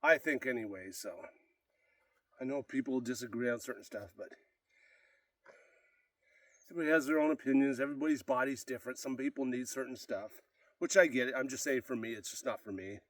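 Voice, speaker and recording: A man's voice: mid-range voice, brisk, pauses often, fairly bright tone, no room sound. Winded stuffy-sounding guy rambling mid workout, mic kinda far off, dry sound. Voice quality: congested. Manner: rambling, talks between sets. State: out of breath. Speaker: fitness vlogger. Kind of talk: casual unedited workout vlog. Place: gym.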